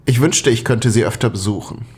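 A man's voice: medium pitch, brisk, husky, close to an everyday voice. An actor playing a shy, dreamy young Soviet man, speaking hesitantly and tenderly in an intimate radio play. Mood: friendly, curious.

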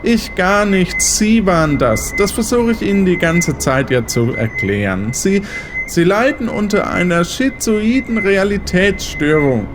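A man's voice: high voice, very animated, a little slow, background noise. An actor playing a smug, menacing male pursuer in a radio play, condescending and theatrical.

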